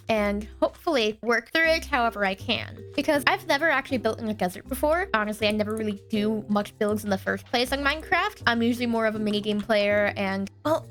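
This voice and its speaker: A young woman with a bright, high voice talks through her building game as she plays, chatty and thinking aloud. She sounds a little stuffed up and congested. Faint background music plays under her voice. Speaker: gaming YouTuber. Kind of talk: let's play commentary.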